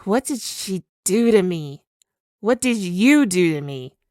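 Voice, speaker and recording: A tormented, possessive spirit speaks through a stolen female body, acted by a woman. The delivery is theatrical and unhurried, lingering on words at a middle pitch. It is an ASMR roleplay villainess, recorded very dry. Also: very animated, warm tone, no echo. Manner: slow, theatrical, brooding, dwelling on words. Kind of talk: ASMR dramatic roleplay monologue.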